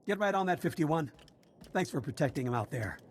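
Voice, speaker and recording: An actor playing a frustrated, battle-worn prison officer in a video game, brisk and practical. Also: male voice, fairly high pitch, lively intonation, a little hiss or hum. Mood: businesslike. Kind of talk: video game character acting.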